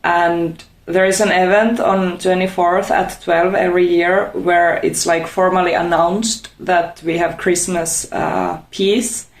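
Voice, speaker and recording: A woman's voice: lowish voice, little pitch movement, slow pace, few pauses. A woman in her twenties with a Finnish accent, giving casual interview answers. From Finland. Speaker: interviewed volunteer. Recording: echoey room.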